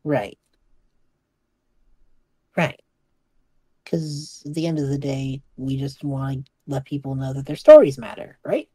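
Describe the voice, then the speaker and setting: A woman playing an attentive, curious young student in an audio drama, husky-voiced, hesitant and agreeable. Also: a bass voice, expressive, unhurried, long pauses, mellow, no echo, heavily acted, rough recording. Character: attentive, curious young visitor with a school project. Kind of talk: audio drama dialogue, naturalistic.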